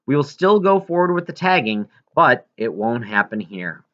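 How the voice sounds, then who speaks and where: A man's voice: medium pitch, very animated, normal speed, fairly bright tone, dull audio. A man reading aloud as a folksy animal sanctuary staffer announcing a public update in an audiobook. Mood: upbeat, informative.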